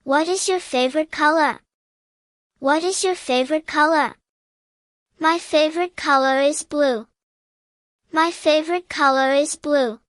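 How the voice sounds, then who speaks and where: High-pitched woman, slow English lesson narration. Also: a bit bright, dry recording, studio quality. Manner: careful, evenly measured, simple phrases. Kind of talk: scripted beginner language drill, each sentence repeated.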